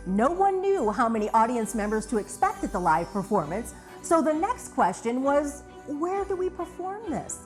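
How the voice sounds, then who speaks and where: High, lively female documentary narrator. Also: normal speed, soft background music.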